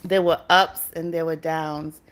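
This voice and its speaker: A woman's voice: sits low, little pitch movement, unhurried. Chatty woman from Barbados hosting a live stream. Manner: chatty, greets viewers by name, laughs often. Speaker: YouTube channel host. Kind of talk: casual live-stream chat with viewers.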